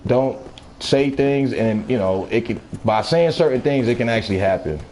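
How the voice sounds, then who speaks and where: A man in his thirties chatting casually with viewers while gaming, laid-back, talkative and quick to laugh. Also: mid-range voice, lively intonation, darkish tone, slight background noise, poor audio. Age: 30s. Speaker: gaming streamer. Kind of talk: casual live-stream chat while gaming.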